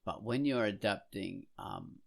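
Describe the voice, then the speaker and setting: A man chatting casually as a podcast host, rambling and halting as he goes, growing animated when enthused. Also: fairly low pitch, gravelly. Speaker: podcast host and comic artist.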